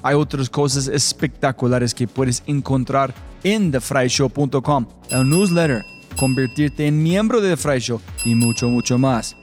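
A man's voice: mid-range voice, unhurried, darkish tone, soft background music. A man hosting a podcast, opening with an enthusiastic, emphatic intro and then interviewing a guest. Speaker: podcast host.

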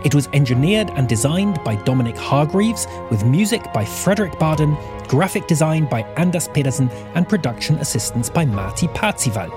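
A man reading scripted podcast credits as the show's announcer. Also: on the high side. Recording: music under the voice.

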